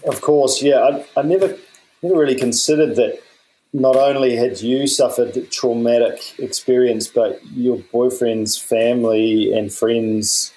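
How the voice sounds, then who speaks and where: A man's voice: medium pitch, steady tone, a little slow, dark tone, rough recording. A man hosting an interview podcast, enthusiastic, often repeating words as he asks his questions. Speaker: podcast host.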